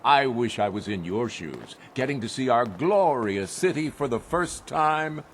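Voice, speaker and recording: An actor playing a pompous, self-important city mayor in a video game, speaking in a formal, placating way. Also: male voice, mid-range voice, animated, a little slow, a little hiss or hum, natural voice. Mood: grandly welcoming.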